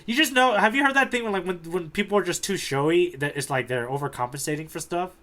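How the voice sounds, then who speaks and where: A man's voice: high voice, fast, bright, forceful tone. A man co-hosting a podcast in casual comedic chat, wryly riffing on jokes as he goes. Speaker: podcast co-host.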